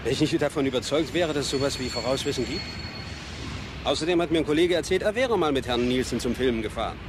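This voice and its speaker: Indignant believer played by a man, fast, insistent, radio drama, clear traffic noise. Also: medium pitch, expressive, lightly acted. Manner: insistent, defensive. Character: indignant, convinced male paying spectator. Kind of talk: radio play acting as interviewee.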